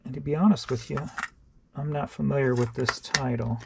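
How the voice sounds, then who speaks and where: Gravelly-voiced man, rambling offhand vlog. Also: mid-range voice, fairly even intonation, moderate pace, heavy background noise. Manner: rambling and offhand, thinks aloud while sorting tapes. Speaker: online reseller. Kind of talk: casual show-and-tell vlog.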